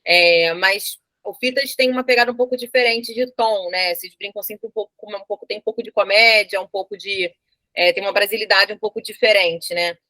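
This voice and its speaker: A woman's voice: medium pitch, steady tone, brisk, pushed and bright, dry recording. A woman hosting an informal interview, chatty and a little hesitant in her phrasing.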